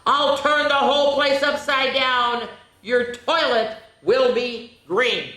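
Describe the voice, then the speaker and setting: A woman's voice: sits high, takes it slowly, pushed and bright, echoey room, voice pushed up, exaggerated voice, rough recording. A woman voicing a cheeky, boastful little male leprechaun in sing-song rhyme, reading a children's picture book aloud. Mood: mischievous boasting. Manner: sing-song rhyming taunts.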